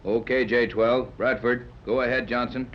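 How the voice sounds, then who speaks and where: A man acting a steady, capable sea captain in a 1930s radio serial. He explains and gives orders briskly, with natural authority, in a mid-pitched voice. The old recording is dull, with light noise. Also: natural voice. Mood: businesslike.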